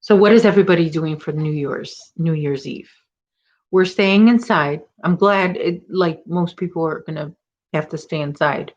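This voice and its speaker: A woman's voice: deep voice, moderate pace, a little mellow, muffled recording. A woman with a Chicago accent hosting a casual crochet livestream from home, chatting and teasing while she works. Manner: chatty, reads viewer comments, teasing.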